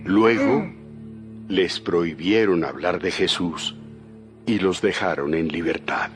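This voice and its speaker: A man's voice: low-pitched, slow pace. A man narrating a dramatized audio Bible as a scripted storyteller. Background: music.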